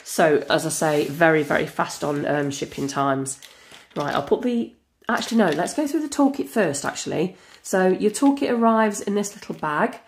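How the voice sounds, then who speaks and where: Woman, hoarse with a cold, low and gravelly, chatty and excitable. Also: normal speed. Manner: chatty and enthusiastic, frequent fillers. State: croaky from a cold. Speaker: crafting channel host. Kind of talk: casual unboxing chat. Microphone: camera over a tabletop.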